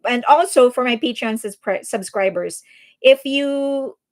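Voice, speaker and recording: A woman's voice: on the high side, a little slow. A woman from the Philippines with a Filipino accent, hosting a casual livestream and answering viewer comments in a chatty, earnest way. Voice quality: chatty, earnest, frequent restarts and fillers. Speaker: livestream host.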